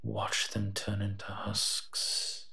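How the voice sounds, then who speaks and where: A man's voice: fairly low pitch, completely flat delivery, extremely slow, creaky, bright, forceful tone, heavily acted. A man voicing a sardonic, protective and menacing monster who teases and mutters asides in a roleplay audio drama. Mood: brooding, low-key. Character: eight-foot male smoke monster, sardonic, menacing, protective.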